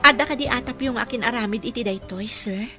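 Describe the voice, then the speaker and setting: A woman's voice: fairly high pitch, quick pace, music in the background, lightly acted. An actress playing a worried, practical working mother in a radio drama, earnest and respectful in manner. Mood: uneasy. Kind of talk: Ilocano radio drama acting.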